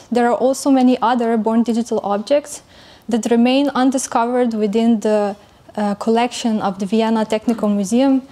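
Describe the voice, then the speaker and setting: Soft-voiced woman giving a conference talk. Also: fairly high pitch. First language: not German. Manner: careful, measured delivery with frequent fillers. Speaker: conference speaker. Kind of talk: academic presentation.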